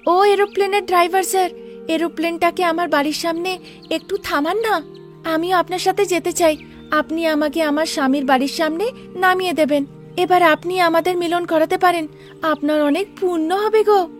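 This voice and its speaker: A very high-pitched young village bride from a cartoon tale, played by a woman. She sounds plaintive and wistful, pining for her husband, with faint music behind her. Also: fairly even intonation, normal speed. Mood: pleading.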